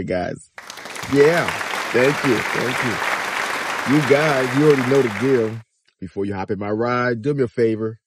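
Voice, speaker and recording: A man in his fifties from Miami, talking animatedly to viewers from inside a car in a casual commentary vlog. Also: mid-range voice. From Miami, Florida. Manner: animated, chatty, addresses viewers directly. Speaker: vlogger. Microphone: phone or dash camera at arm's length.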